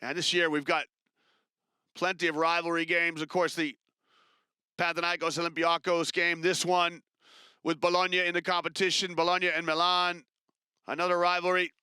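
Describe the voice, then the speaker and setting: Bright, crisp male basketball commentator. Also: a little high, no room sound, studio quality. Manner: brisk, chatty, rises with excitement on big plays. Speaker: play-by-play commentator.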